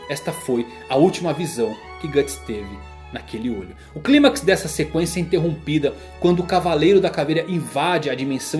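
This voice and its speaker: A man's voice: fairly high pitch, darkish tone. A man with a Brazilian accent delivering dramatic scripted narration, like a storyteller explaining a saga. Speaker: narrator of an anime and manga explainer channel.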